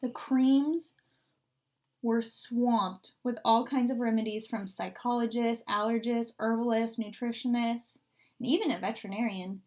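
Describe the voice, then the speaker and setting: A woman with a fairly high voice reads a picture book aloud. Her pace is very slow and deliberate, and she stretches key words expressively for young listeners. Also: fairly even intonation, a little mellow. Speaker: story reader. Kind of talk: expressive children's read-aloud.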